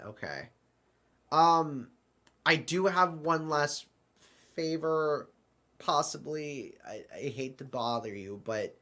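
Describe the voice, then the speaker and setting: A man playing bewildered, apologetic travelers in an amateur audio drama, with a halting, stammering delivery. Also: mid-range voice, a bit bright. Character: bewildered, apologetic accidental travelers stranded in the void.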